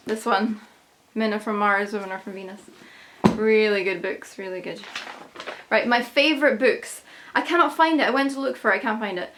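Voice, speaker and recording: A young woman with a Scottish accent talks to her viewers in a relaxed, chatty way. Her voice sits a little high, and she often laughs between thoughts. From Scotland. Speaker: YouTube vlogger. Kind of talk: casual talk to camera.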